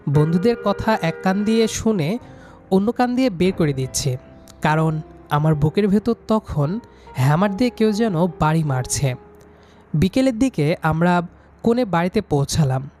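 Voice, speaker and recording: A man's voice: sits high, animated, unhurried, long pauses, a little mellow. A man narrating a scripted first-person story as the storyteller. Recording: music behind the voice.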